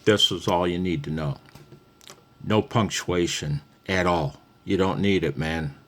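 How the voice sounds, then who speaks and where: A man's voice: low voice, a little slow, a little hiss or hum. A man giving a casual, unscripted hobby tutorial, rambling conversationally as he thinks aloud.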